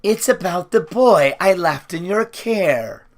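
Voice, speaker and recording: A man's voice: sits high, expressive, slow, voice pushed up, heavily acted. A man voicing a small, miserable, nervous messenger in a storybook, hesitant and wailing as he explains himself.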